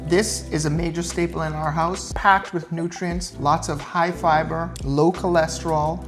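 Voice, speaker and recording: A man's voice: fairly high pitch, fairly even intonation, moderate pace, music in the background. A man, the host's husband, joining a cooking video with playful, laid-back banter in the home kitchen. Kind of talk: casual family chat.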